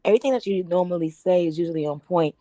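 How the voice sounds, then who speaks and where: A hoarse woman calling in to a livestream from her phone, chatty and gossipy. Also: on the low side, rapid-fire, mellow, no echo, clean studio sound. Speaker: caller on a livestream. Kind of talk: casual chat.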